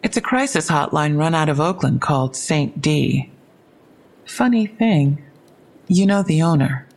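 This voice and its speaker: An actress playing a frank, inquisitive police investigator in an audio drama, matter-of-fact and wry, with a husky, creaky voice. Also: female voice, sits low, expressive, measured pace, a little mellow, a little hiss or hum. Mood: matter-of-fact, probing. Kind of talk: full-cast audio drama dialogue.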